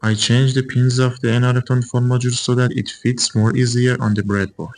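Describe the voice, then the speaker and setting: A man's voice: mid-range voice, little pitch movement, brisk. A man in his twenties hosting a hobby electronics video, explaining his project step by step. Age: 20s.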